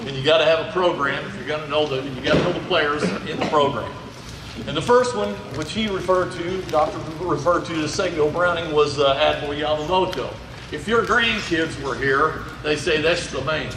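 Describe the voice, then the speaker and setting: A man's voice: fairly high pitch, noisy background. A middle-aged man from Indiana, a museum volunteer giving a chatty, folksy history talk to an audience. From Indiana, USA. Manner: folksy, chatty, checks in with "okay?". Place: large indoor museum hall. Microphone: handheld mic held loosely. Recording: echoey hall recorded from far off.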